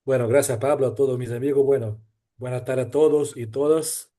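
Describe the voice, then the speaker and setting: Mellow man speaking careful Spanish. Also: mid-range voice, fairly even intonation, normal speed. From Brazil. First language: Portuguese. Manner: careful, polite non-native Spanish. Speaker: panelist. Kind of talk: conference presentation.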